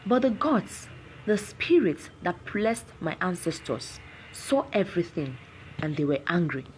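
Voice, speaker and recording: Lady doing a cursed village guy from a folktale, grave n anguished, slow, pitch swinging, bit of noise behind. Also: sing-song, a little mellow, rough recording. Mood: sorrowful, bitter. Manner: grave, dramatic, pleading. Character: anguished cursed village man, sorrowful, vengeful, resigned.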